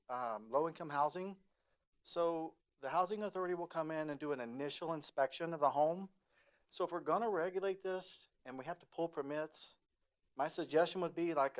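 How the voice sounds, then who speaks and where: A man who is a landlord giving a halting, practical public comment at a community meeting. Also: fairly high pitch. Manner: halting, practical, restarts sentences.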